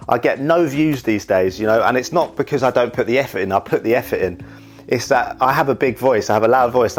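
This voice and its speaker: A young man talking candidly about his life, rambling and often saying "you know". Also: mid-range voice. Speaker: YouTuber and wedding videographer. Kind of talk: reflective casual monologue.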